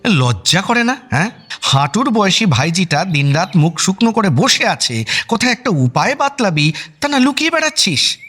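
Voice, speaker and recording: A man acting a blustery but affectionate uncle in a radio drama, husky and colloquial. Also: high-pitched, big pitch swings, moderate pace, a bit bright, heavily acted. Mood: angry. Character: blustery, affectionate family uncle, teasing and exasperated.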